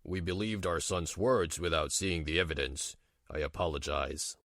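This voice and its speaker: A man voicing a stern, dignified older father in a manga dub, speaking gravely and admonishing. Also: sits low, steady tone, moderate pace, fairly bright tone, dry recording, studio quality, voice pushed down. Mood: grave apology.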